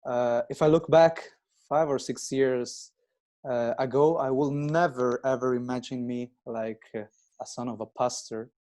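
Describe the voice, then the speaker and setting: Twenties guy raised in italy, broken careful english, lots of uh's, soft warm tone. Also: medium pitch, steady tone. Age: 20s. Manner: halting non-native English, frequent pauses and restarts. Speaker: guest. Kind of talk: casual interview chat.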